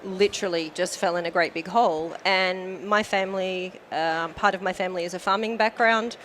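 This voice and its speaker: A woman's voice: fairly low pitch, fairly even intonation, moderate pace, a bit bright, slight background noise. A woman from Australia with an Australian accent, chatting as a guest on a podcast interview, talkative with frequent fillers. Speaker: guest, company manager.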